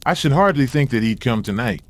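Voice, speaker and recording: A man's voice: medium pitch, expressive, fairly quick, no echo, natural voice. A man acting a testy, curious old father who blusters and grumbles in a dramatised audio story. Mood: restless. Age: older.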